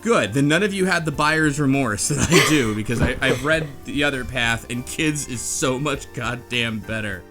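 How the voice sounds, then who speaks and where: A man's voice: medium pitch, moderate pace, hardly any pauses, pushed and bright. Blunt, rambling man over music. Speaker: podcast host. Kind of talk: casual book club discussion. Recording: music behind the voice. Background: background music.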